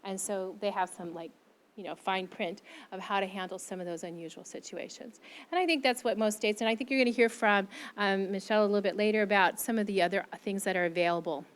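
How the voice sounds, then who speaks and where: A woman's voice: medium pitch, fairly even intonation, fast. Earnest woman presenter answering questions. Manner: earnest, explanatory, many ums and restarts. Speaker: invited presenter, language teacher. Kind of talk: conference presentation and Q&A. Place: conference room with audience. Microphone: handheld microphone.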